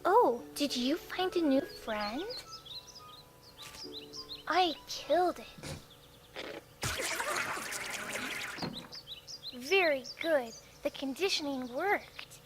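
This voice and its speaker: A woman's voice: sits high, expressive, takes it slowly, music in the background. A woman voicing cute female cartoon ponies, cheerfully unhinged and bossy, in a parody dub. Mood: sweetly sinister.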